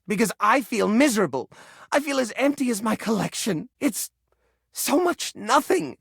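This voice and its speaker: An actor voicing a fussy, anxious junk drawer come to life in a video game, theatrical and flustered, with old-fashioned folksy exclamations. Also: male voice, very high-pitched, sing-song, normal speed, gravelly, fairly bright tone, breathy edge, no echo, studio quality, full character voice. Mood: hurt, sulky. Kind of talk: video game character voice acting.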